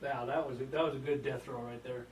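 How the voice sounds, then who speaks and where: A man's voice: medium pitch, steady tone, fast, loud music behind the voice. A man casually directing a voice recording session, heard on a noisy, distant behind-the-scenes recording.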